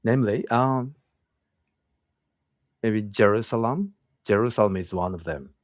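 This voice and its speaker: A middle-aged man from Japan, speaking English with a Japanese accent in a relaxed interview. He talks slowly and haltingly and searches for his words. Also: on the low side, no room sound. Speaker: guest, woodworking craftsman and teacher. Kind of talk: casual interview conversation. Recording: dull, dry lo-fi sound.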